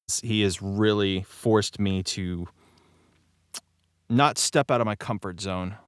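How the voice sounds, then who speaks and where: Man chatting casually, clear dry sound. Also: lowish voice, no room sound. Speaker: voice actor discussing his role. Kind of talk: casual panel chat about acting.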